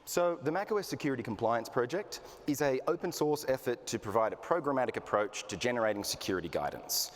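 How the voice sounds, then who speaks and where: A man's voice: mid-range voice, lively intonation, quick pace, hardly any pauses. An easygoing man, co-presenting a conference talk. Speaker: customer success manager presenting. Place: conference hall. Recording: reverberant hall.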